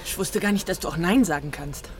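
Radio drama: conversational, gently teasing woman, played by an actress, clearly noisy ambience behind her. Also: lowish voice, brisk, close to an everyday voice. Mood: happy, teasing. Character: teasing, animated woman looking forward to holiday.

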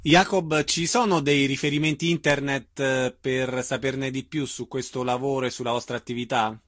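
A man's voice: medium pitch, fairly even intonation, normal speed, fairly bright tone, dry recording, studio quality. A man hosting a radio arts programme, interviewing guests and reading event announcements.

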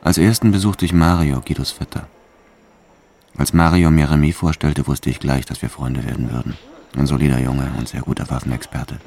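A man's voice: very deep voice, steady tone, brisk, gravelly, a little mellow, slight background noise. An actor playing a hardened, reckless French bank robber who tells his story in a cold, matter-of-fact, boastful way for a radio drama. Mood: matter-of-fact, hard. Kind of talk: first-person radio drama narration.

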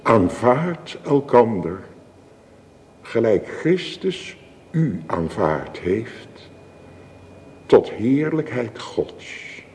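A solemn preacher in an old radio play, mellow and slow, big church reverb. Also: male voice, mid-range voice, sing-song. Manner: solemn, measured. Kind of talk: formal sermon in radio drama. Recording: reverberant church acoustic, faint hiss.

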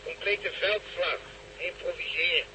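A grumpy police commissioner in a radio play. His voice is high and sharp, and he is curt and dismissive. There is a faint hum behind him. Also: steady tone, slow, exaggerated voice, low-quality recording. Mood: curt, resigned. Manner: curt, impatient. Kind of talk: radio drama acting.

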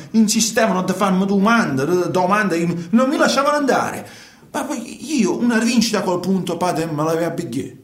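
Guilty trucker in a radio play, southern italian accent, rambling excuses, repeats himself, roomy echo. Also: male voice, high voice. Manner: halting, repetitive, defensive, colloquial. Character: guilty truck driver confessing, self-justifying, agitated, coarse. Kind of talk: radio theatre monologue, colloquial confession. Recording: echoey room.

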